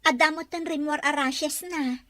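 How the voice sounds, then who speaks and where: A woman with a Filipino accent playing a gentle, dutiful young wife in an audio drama. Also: high voice, steady tone, normal speed, no echo. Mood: earnest. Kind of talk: Ilocano audio drama acting.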